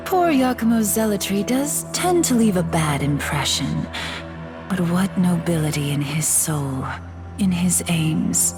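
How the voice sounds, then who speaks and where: A woman's voice: on the low side, lively intonation, slow pace, long pauses. A woman playing a poised, teasing goddess turned demon in a video game, refined and coolly condescending, over music. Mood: amused, earnest. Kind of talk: dramatic video game voice acting. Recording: loud game music underneath.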